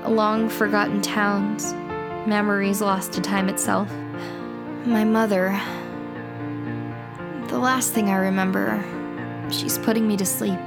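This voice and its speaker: A woman's voice: medium pitch, flat delivery, slow, pauses often, over loud music. A woman playing a wry, chatty young radio broadcaster in an audio drama. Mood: wistful, somber. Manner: wry, playful, wordy.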